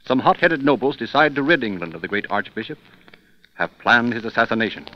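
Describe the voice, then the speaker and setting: A man giving measured, dramatic scripted narration in 1930s radio style. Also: mid-range voice, animated. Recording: muffled 1930s radio recording.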